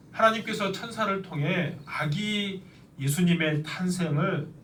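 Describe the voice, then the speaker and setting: Nasal-voiced pastor with a cold. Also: male voice, on the high side. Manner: earnest, with slow emphatic stretches. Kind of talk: sermon. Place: church sanctuary. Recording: echoey church, mic at a distance.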